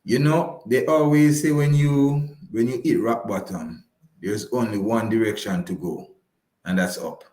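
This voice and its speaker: Low-voiced man, emphatic and roomy. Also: a little slow, mellow. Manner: emphatic, repeats key phrases for reassurance. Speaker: financial advice guest on a radio show. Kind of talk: conversational advice on a live broadcast.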